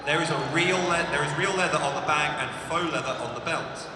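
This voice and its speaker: A man co-presenting a convention stage show, reading out descriptions of entries in a brisk, lively way with the odd dry quip. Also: fairly high pitch, recorded from a distance. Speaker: co-presenter reading entrant notes. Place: large convention hall stage. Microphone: handheld PA microphone. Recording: echoey PA in large hall, heard from afar. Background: loud crowd and hall noise.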